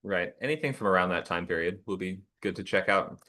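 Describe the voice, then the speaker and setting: Deep-voiced man losing his voice. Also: brisk, sharp tone. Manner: friendly, encouraging, chatty. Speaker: workshop host. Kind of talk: casual chat leading an online workshop.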